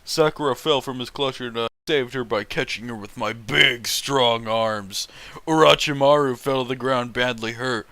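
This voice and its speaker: Clear, bright-voiced man, sarcastic and sweary. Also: medium pitch, moderate pace, no room sound. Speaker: host reading fan fiction. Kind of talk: casual mocking read-aloud with commentary.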